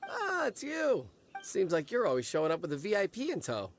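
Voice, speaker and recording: A man's voice: high-pitched, huge pitch swings, fairly quick, creaky, slight background noise, full character voice. An actor playing an eager, earnest young man who runs a drink stall in a video game.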